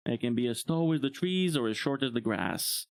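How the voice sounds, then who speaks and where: A man's voice: medium pitch, animated, moderate pace, creaky, no echo. A young man from Bulgaria, a native Bulgarian speaker, chatting casually on a live stream, rambling and chuckling often. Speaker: VTuber streamer.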